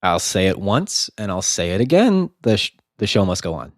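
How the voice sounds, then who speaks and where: Low-voiced man getting over a cold. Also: big pitch swings, dry recording, studio quality. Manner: quick jokey banter, filler words. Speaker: podcast co-host. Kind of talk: casual chat.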